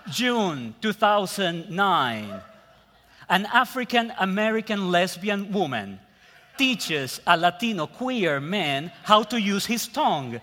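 A man with a Puerto Rican Spanish accent, a guest preacher delivering a sermon in measured phrases with pauses that trail off softly. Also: high voice, sing-song, a little hiss or hum. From Puerto Rico. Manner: slow, measured preaching with dramatic pauses and soft trailing endings. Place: church sanctuary. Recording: long church reverb.

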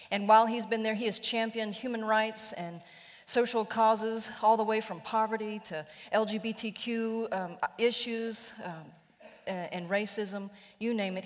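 A woman pastor introduces the day's guest preacher with earnest admiration, now and then pausing on a filler. Her voice is mid-pitched, and it carries a long reverb from a large hall. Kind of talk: formal introduction.

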